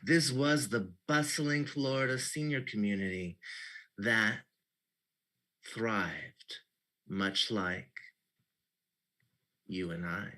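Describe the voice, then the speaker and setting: A man narrating an improv scene opening in a deliberate, mock-poetic way. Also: lowish voice, sharp tone, rough recording. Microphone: video call.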